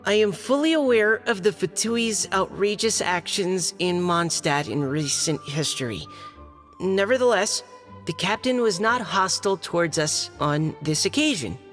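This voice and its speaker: A woman's voice: on the low side, animated, unhurried. A woman voicing an earnest, shy, polite young boy knight in a video game, reading aloud carefully and formally. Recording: long hall reverb. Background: background music.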